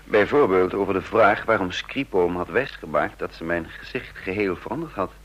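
An introspective spy in a radio drama, with a low, husky voice. He speaks in a measured, reflective way, with dry wit. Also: a bit bright, highs cut off. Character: male spy with a false identity, wry and introspective. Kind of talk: first-person narration and dialogue in Dutch radio play.

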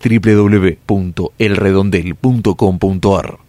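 A man's voice: low-pitched, steady tone, measured pace, warm tone. A man voicing a scripted radio show promo as its announcer. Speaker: station jingle announcer. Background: music.

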